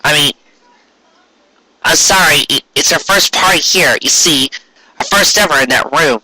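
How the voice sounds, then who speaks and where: A man's voice: a little high, moderate pace, pushed and bright, dry recording, low-quality recording. A man voicing an anxious male pony in a story, flustered and apologetic, with a nervous stammer.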